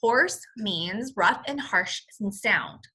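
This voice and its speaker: A woman reading a children's story aloud as a teacher, in an expressive, explanatory manner. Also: mid-range voice, slow pace, slightly rough. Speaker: first-grade teacher.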